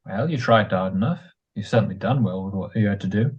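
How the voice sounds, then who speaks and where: A man playing a calm, blunt, reassuring ex-soldier friend in a radio drama, with a husky voice. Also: on the low side, quick pace, highs cut off. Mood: firm, frank. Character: steady, reassuring ex-soldier friend, practical and wry. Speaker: amateur radio drama actor.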